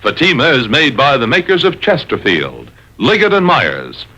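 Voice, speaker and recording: A man reading a 1950s radio commercial in a smooth, unhurried selling manner. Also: mid-range voice, big pitch swings, sharp tone, muffled recording. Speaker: commercial announcer.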